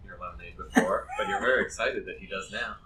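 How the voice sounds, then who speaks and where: A man's voice: low voice, fast talker, pushed and bright. A man narrating an improvised tabletop game as game master, with a wry, playful manner. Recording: echoey, noisy, distant group mic.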